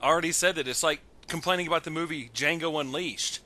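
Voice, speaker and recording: A man's voice: a little high, quick pace, sharp tone. A man talking casually and with strong opinions on a headset in an online voice call. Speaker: participant in a recorded online voice chat. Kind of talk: casual chat. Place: recorded Skype call.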